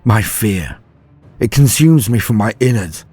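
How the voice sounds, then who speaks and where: A man voicing a terrified soldier in agony, telling of his flight in halting, fearful first person as a dramatic horror story. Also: lowish voice, expressive, a little mellow, husky, dry recording, faint music behind. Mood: dazed dread. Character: terrified male soldier fleeing a horde, in agony.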